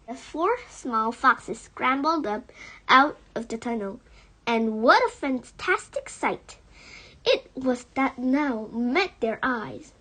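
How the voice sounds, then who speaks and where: A woman narrates a children's audiobook in an expressive storytelling style. Her voice is fairly high, and she reads at a very measured, slow pace. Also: poor audio. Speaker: audiobook reader.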